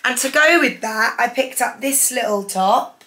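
A young woman in her twenties, hoarse from losing her voice, chatting enthusiastically through a clothing haul in her living room. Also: fairly high pitch, unhurried, sharp tone. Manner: chatty, enthusiastic, holds up each item. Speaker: fashion vlogger. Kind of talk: casual haul vlog. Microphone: camera in front, seated.

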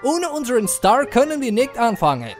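Formal, theatrical pony director played by an actor, swooping intonation, soft music underneath. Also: medium pitch, very animated, a little fast, natural voice. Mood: grave, formal.

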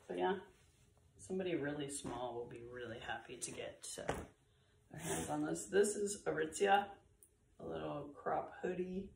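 A woman's voice: low voice, gravelly, slight background noise. A middle-aged or older woman chatting casually to camera with lots of fillers, slightly congested from a cold, recorded indoors by a camera a few feet away. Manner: relaxed, chatty, with frequent fillers. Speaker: vlogger sorting found clothes. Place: bright room with bare walls, indoors. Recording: roomy, slightly distant camera audio.

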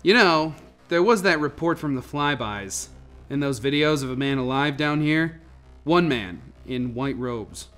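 Man acting a commanding scientist, very animated delivery. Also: a little high, unhurried, soft background music. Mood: pondering. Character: cautious, commanding male scientist in a sealed protective suit. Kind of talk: radio drama acting.

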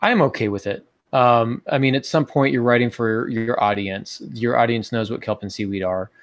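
A man's voice: mid-range voice, normal speed, dry recording. A middle-aged man chatting casually as a podcast host in a writers' discussion, recorded on a video call. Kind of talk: casual panel discussion on writing. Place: small home office, indoors. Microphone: earbud headset on video call.

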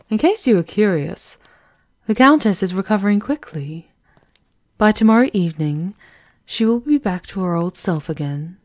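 A woman playing a shrewd, composed High Enchantress in a fantasy ASMR roleplay, with a measured, knowing, formal manner. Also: darkish tone, no room sound. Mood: brisk, knowing. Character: shrewd, composed female High Enchantress advising a vampire countess.

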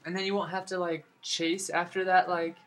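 A woman's voice: lowish voice, little pitch movement, normal speed, slight background noise. A young woman talking casually to her webcam in a small bedroom, giving voice tips in a rambling way with lots of fillers and restarts. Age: young adult. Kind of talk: casual advice monologue. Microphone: webcam at desk.

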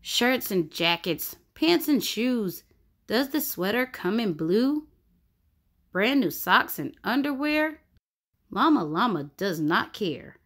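A woman reading a rhyming picture book aloud for children in an expressive, sing-song way. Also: fairly high pitch, slow. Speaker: children's story reader. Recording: music bed under the voice. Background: background music.

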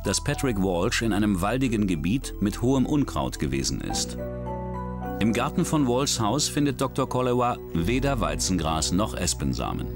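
Deep-voiced man narrating crime story. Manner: measured, dramatic storytelling. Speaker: documentary narrator. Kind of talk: scripted narration. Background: music.

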